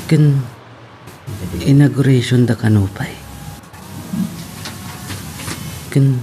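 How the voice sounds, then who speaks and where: A man's voice: mid-range voice, lightly acted. A man with an Ilocano accent playing a chatty, easygoing customer in an audio romance drama. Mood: musing. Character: Ron, chatty, easygoing male restaurant customer. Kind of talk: Filipino radio-style romance drama acting.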